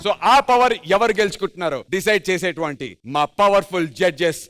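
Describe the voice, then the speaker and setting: A man hosting a television show on stage, announcing with energy and drawn-out emphasis. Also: sits high, lively intonation. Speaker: TV show host. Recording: TV stage with music behind.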